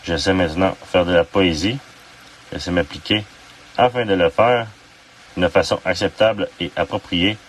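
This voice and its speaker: A low-pitched man recites poetry as an amateur poet. He phrases it deliberately and haltingly. He is recorded at some distance from the mic, with faint noise behind him.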